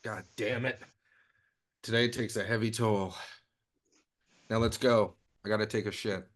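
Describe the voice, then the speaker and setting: A man's voice: fairly low pitch, steady tone, measured pace, lightly acted. A man playing an apologetic, awkwardly caring secret agent in a comedic script table read. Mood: weary.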